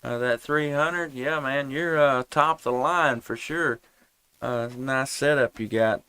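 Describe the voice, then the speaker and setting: A laid-back man chats on an amateur radio live stream. His voice is smooth and clear with a bright edge. The sound is close and dry. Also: medium pitch, unhurried, dry recording. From United States. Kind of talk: casual amateur radio chat. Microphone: headset and boom microphones.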